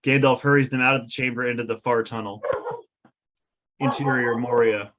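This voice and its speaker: A man's voice: mid-range voice, steady tone, moderate pace, background noise. A man reading stage directions aloud as narrator at a script table read.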